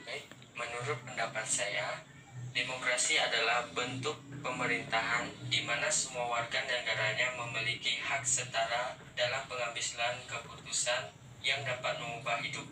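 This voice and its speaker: A man's voice: mid-range voice, little pitch movement, normal speed, music in the background. A young man giving a prepared opinion as a student interviewee, recorded in a noisy room away from the mic. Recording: roomy, distant mic, clear background noise.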